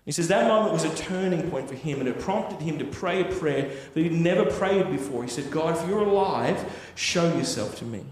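Earnest preacher in an echoey hall. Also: male voice, a little high, animated, moderate pace, hardly any pauses, darkish tone, a little hiss or hum. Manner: earnest, conversational with tag questions. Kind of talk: sermon storytelling. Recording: echoey hall with long reverb.

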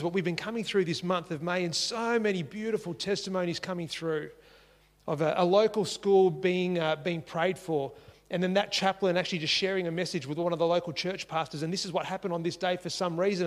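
A man's voice: high-pitched, brisk, big-room sound. A man preaching a sermon in earnest, repeating key phrases for emphasis. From Singapore. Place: church.

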